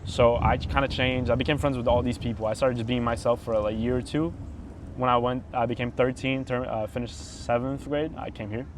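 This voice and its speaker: A talkative young man from Brazil, chatting as a podcast guest in a mid-pitched voice. His talk rambles and is full of filler words. A faint noise sits underneath. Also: fairly even intonation, brisk. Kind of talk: casual chat.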